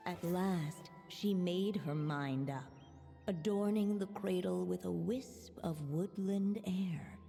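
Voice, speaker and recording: A woman playing a gentle, solemn fairy-tale narrator in a video game, speaking in measured literary phrases with long reverb. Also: lowish voice, gravelly, soft background music. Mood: tender. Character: gentle storybook narrator, an unseen female storyteller.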